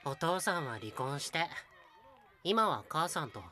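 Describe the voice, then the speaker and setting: A sweet, shy young boy voiced by a woman speaks modestly in a voice drama. The voice is bright and sits lowish for a female speaker, with animated rises and falls. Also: a little slow, exaggerated voice. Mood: shy, matter-of-fact. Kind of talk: Japanese voice drama dialogue.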